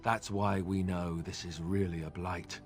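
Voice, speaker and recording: An actor playing a friendly, self-deprecating young warrior in a fantasy video game, conversational and wry. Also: male voice, sits low, fairly even intonation, a little slow, soft background music. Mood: concerned, explaining.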